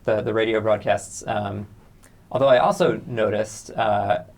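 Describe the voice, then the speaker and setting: A man's voice: fairly low pitch, fairly even intonation, measured pace, vocal fry. A man co-hosting a casual interview, putting questions in a hesitant, tentative way. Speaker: interviewer, co-host.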